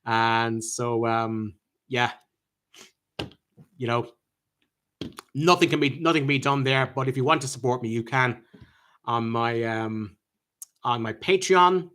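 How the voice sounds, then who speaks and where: An Irish man hosting a live-stream chat, answering viewers' questions in a halting way, with many ums and restarts. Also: mid-range voice, fairly bright tone. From Ireland.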